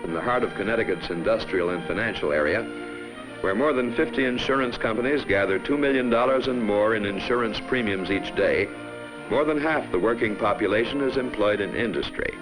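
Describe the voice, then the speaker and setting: A man reading scripted 1950s promotional film narration in a measured, formal announcer style on a vintage soundtrack. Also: on the low side, low-quality recording. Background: background music.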